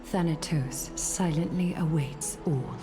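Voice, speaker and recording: A woman playing a solemn, regal guardian in a fantasy game, speaking in a measured, formal and portentous way. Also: low voice. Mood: grave, foreboding. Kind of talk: dramatic game story dialogue. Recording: loud music behind the voice.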